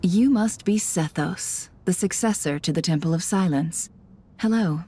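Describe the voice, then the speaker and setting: An actress playing a shrewd, guarded intelligence broker in a video game, cool and knowing in manner. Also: female voice, lowish voice, a little slow, warm tone, breathy edge. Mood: cool, probing.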